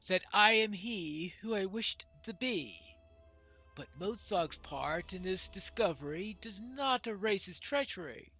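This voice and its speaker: Man reading as a high-voiced, solemn dwarf prince. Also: bright, forceful tone, exaggerated voice. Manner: formal, declamatory, deliberate. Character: solemn, resolute male dwarf prince, soon to be king. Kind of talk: dramatic reading of fantasy game dialogue.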